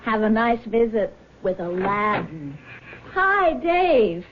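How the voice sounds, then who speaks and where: An actress playing a kindly grown daughter in a small-town radio drama. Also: female voice, medium pitch, very animated, slow. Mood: gentle, concerned. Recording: dull, noisy old radio recording.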